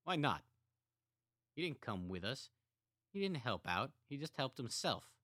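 A man voicing a young jailed killer who talks to his family with bitter contempt and builds to heated shouting. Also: on the low side, expressive, moderate pace, dry recording, studio quality, voice pushed up. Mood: defiant.

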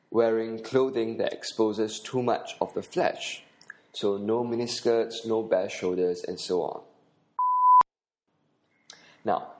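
A man's voice: lowish voice, darkish tone, poor audio. A man with a Singaporean accent, hosting a podcast and narrating an audio tour with earnest instruction. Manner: earnest, instructive, with occasional uh fillers.

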